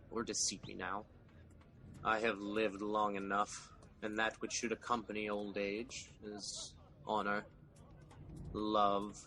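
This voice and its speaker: An actor playing an embittered, defiant tyrant king in a Shakespearean audio drama, declaiming between hushed brooding and roars. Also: on the low side, slight background noise, full character voice, rough recording. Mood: brooding, despairing.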